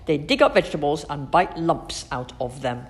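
A woman's voice: low voice, animated, normal speed, long reverb tail. A woman voicing a mean, grumpy male librarian in a children's story, snapping and sniffing rudely. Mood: snappish.

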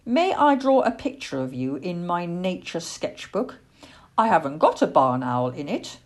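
A woman voicing a sweet, kindly young lady in a children's story, speaking gently and politely. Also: on the low side, big pitch swings, unhurried. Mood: friendly.

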